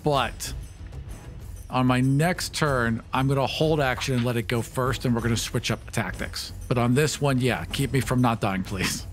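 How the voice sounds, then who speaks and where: A man's voice: medium pitch, moderate pace, soft background music. A man playing a tabletop roleplaying game, casually improvising narration of the action.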